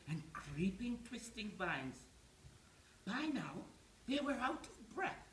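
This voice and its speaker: A woman in her seventies telling a bedtime story on stage in an expressive, measured storytelling manner. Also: a little high. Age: 70s. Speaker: storyteller in an amateur pantomime. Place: small theatre stage. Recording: roomy theatre echo with faint noise.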